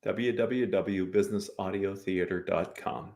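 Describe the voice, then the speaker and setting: A man's voice: low-pitched, fairly even intonation, unhurried, creaky, a little mellow. American man hosting a business talk show. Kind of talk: conversational business interview.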